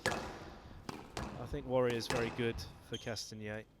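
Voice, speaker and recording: A man giving casual, joking commentary on a squash match over loud court noise. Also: medium pitch, little pitch movement, slow. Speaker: sports commentator. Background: loud ball hits and court clatter.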